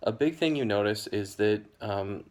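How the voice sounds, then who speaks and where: Low-voiced young American man explaining. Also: lively intonation. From United States. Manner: measured, hedging, frequent ums and "you know". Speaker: educational video host. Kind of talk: explanatory talk to camera.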